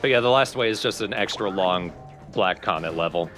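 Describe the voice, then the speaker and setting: A man's voice: low-pitched, brisk, fairly bright tone, faint music behind. A man giving casual let's play commentary as the player, with dry, wry asides.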